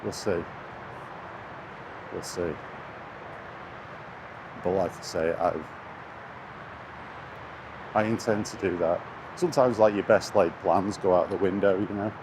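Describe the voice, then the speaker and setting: A man's voice: low voice, takes it slowly, pauses often. A man giving a casual, rambling vlog chat in a hesitant, laid-back way, recorded on a handheld camera outdoors. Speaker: gaming YouTuber. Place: outdoors, walking near traffic. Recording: noisy outdoor recording with urban traffic. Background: outdoor urban and vehicle noise.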